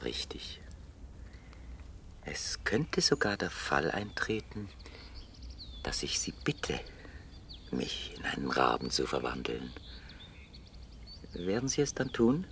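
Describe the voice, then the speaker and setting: An actor playing a young, wealthy, irritable bachelor in a 1960s radio play, with clipped formal speech and a coolly sinister civility. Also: male voice, very deep voice, big pitch swings, slow, creaky, pauses often, fairly bright tone, heavily acted. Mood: subdued, wary. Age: young adult. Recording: hissy 1960 radio play recording.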